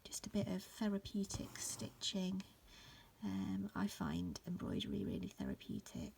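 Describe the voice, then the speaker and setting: A woman in her thirties, sounding croaky from hay fever, talks through an embroidery tutorial in a relaxed, rambling way, with plenty of ums. Her voice has a gravelly edge, and a faint hiss sits behind her. She is recording in an upstairs room at home. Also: mid-range voice, moderate pace. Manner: relaxed, many fillers and restarts. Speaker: embroidery teacher. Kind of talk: casual stitch-along tutorial.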